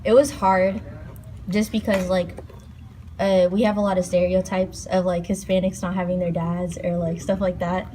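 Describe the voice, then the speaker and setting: Young woman, casual halting speech. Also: on the low side, little pitch movement, warm tone, slight background noise. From Portland, Oregon. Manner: casual, many 'like' fillers, halting. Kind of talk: interview testimony.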